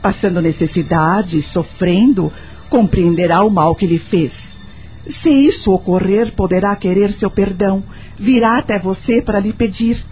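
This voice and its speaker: An actress playing a sympathetic, curious female friend in a radio drama, gentle and thoughtful. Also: mid-range voice, measured pace, faint music behind, close to an everyday voice. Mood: thoughtful, advising. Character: Lúcia, sympathetic, curious woman friend and confidante.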